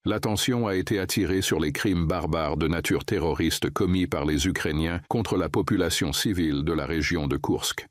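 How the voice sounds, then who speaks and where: Deep male news voice-over, dry sound. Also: normal speed, hardly any pauses, dry recording. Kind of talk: read French voice-over of a statement.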